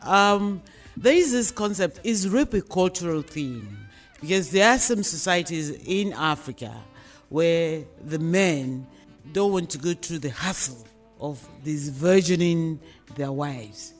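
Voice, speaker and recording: A man with a Nigerian accent and a fairly high voice leads a radio discussion. He explains things deliberately and emphatically as host, over faint background music. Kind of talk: radio discussion, posing questions.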